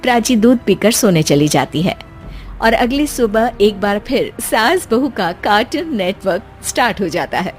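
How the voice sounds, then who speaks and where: A woman's voice: medium pitch, animated, moderate pace, soft background music. A woman narrator reading a scripted family cartoon story.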